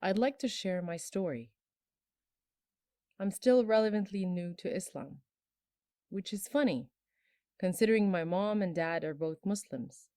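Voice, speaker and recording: A woman in her twenties from Bangladesh, telling her personal story in a soft, earnest, emotional way, her phrases trailing off low. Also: animated, unhurried, long pauses, no echo, studio quality. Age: 20s. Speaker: storyteller sharing a personal testimony. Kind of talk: personal testimony.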